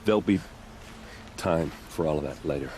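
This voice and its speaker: An actor playing an earnest, grieving young warrior and loyal little brother in a video game. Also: male voice, extremely low pitch, noisy background. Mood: somber resolve. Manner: halting, pleading, stammering.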